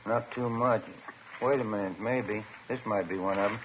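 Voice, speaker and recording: An actor playing a plain-spoken, easygoing detective partner in a vintage radio crime drama, with a low-key conversational delivery. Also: male voice, steady tone, normal speed, natural voice. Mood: matter-of-fact. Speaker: supporting actor. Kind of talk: 1950s radio police drama acting, conversational. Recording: tinny old radio recording, faint hiss.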